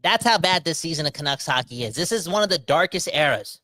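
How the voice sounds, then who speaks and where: Bright, rambling man in his thirties. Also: a little high, fast talker, no echo. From Canada. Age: 30s. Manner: animated, rambling, slangy, talks straight to the audience. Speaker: podcast host reading live chat comments. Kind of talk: casual livestream rant.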